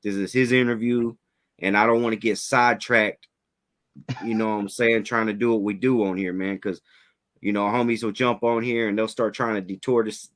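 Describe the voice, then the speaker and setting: A man hosting a casual livestream interview, talking in relaxed slang, a little raspy from a head cold. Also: fairly low pitch, normal speed. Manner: laid-back slangy hype, fillers like "you know what I'm saying".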